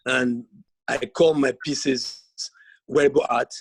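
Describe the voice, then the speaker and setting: A man's voice: mid-range voice, steady tone, slow, a little hiss or hum, low-quality recording. A middle-aged man from Nigeria, a textile artist, introducing himself on a video call with jokes and frequent laughter. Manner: jovial, laughs often, joking.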